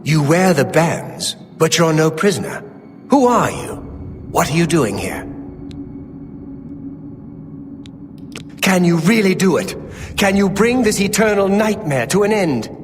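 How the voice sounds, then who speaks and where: Haunted, earnest cultist in a reverberant hall. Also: male voice, on the high side, very animated, moderate pace. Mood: urgent, hopeful. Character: regretful male undead cultist, once a raider, pleading. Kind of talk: theatrical fantasy game dialogue. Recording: reverberant hall, faint music behind.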